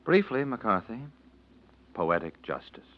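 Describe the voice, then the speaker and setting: Sardonic radio-drama gentleman, very animated. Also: fairly low pitch, slow, a little hiss or hum. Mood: dry amusement. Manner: dry, ironic, theatrical. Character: sardonic, amused male acquaintance of miserly couple. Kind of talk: 1940s radio drama acting. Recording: muffled vintage radio recording.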